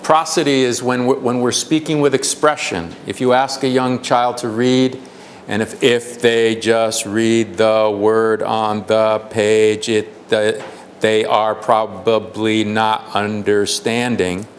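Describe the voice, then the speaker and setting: A man's voice: lowish voice, level tone, slow, slight background noise. An American man giving a conference talk, explaining with frequent restarts and repeated words. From United States. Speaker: conference speaker. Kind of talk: conference presentation. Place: conference hall.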